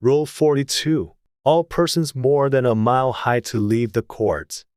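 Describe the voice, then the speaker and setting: A pompous storybook king, voiced by a narrator, emphatic and blustering yet muddled. The voice sits at a middling pitch and is recorded very dry. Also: a little slow, a little mellow, clean tone, no echo, natural voice. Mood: commanding.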